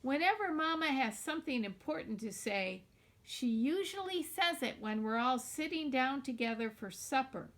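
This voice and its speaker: A woman reading a children's novel aloud in a deliberate, expressive storytelling manner, like a teacher with a class book. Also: high voice.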